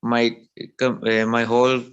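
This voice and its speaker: Slow, hesitant man, smooth voice. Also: mid-range voice, completely flat delivery, lo-fi sound. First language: Urdu. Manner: hesitant, many fillers and restarts. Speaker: show participant. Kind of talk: halting conversation in a second language. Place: video call.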